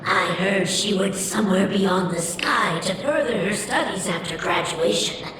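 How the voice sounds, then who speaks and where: An actress playing a kindly, gentle older teacher who reminisces about former pupils in a video game. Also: female voice, mid-range voice, a little slow, slight background noise, close to an everyday voice. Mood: wistful, reminiscing. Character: kindly longtime schoolteacher, reminiscing, protective of her former pupils. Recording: echoey long reverb, loud background music.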